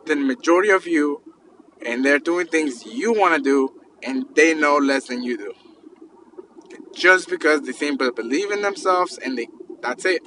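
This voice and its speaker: A man's voice: a little high, bright, forceful tone, slight background noise, poor audio. A man of thirty talking earnestly and casually to his listeners, repeating himself and restarting often as he goes. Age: 30s. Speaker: podcast host and entrepreneur. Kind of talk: casual motivational monologue.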